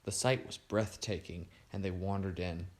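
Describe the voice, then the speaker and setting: Low-voiced man reading a storybook. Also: normal speed. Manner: steady storytelling delivery. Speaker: narrator.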